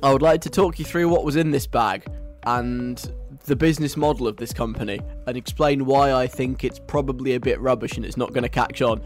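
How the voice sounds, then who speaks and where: Chatty British male radio host, medium pitch.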